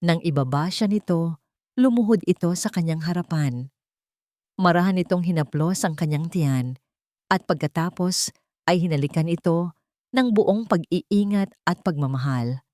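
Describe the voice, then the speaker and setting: Woman, lowish voice, animated intonation, scripted love story narration, sentimental, dramatic. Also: moderate pace, long pauses, no room sound, studio quality.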